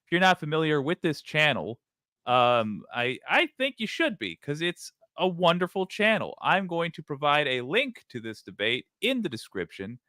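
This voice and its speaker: A man's voice: fairly high pitch, normal speed, dry recording. Chill podcast guy, voice moves around a lot, dry close mic. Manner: relaxed, offhand, jokey asides. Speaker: podcast host. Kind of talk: casual chat reacting to a clip.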